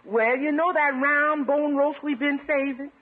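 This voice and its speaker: A woman's voice: high voice, steady tone, unhurried. A woman playing a folksy, sly household cook in a 1950s radio sitcom, deferential but wisecracking. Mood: sly, exclaiming. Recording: noisy, muffled old radio recording.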